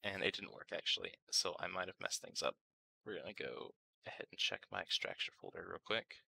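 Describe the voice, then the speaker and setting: A man narrating a casual step-by-step software tutorial, informal and thinking aloud as he works. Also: low-pitched, brisk, gravelly, husky, no echo. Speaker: tutorial host.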